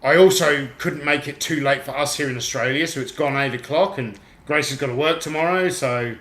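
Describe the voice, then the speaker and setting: A man's voice: on the high side, fairly quick, fairly bright tone. A man from the UK hosting a casual livestream, chatting and reading out viewer comments. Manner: chatty, reads out viewer comments, laughs easily. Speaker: livestream host and toy auctioneer. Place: home room in Australia. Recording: echoey room.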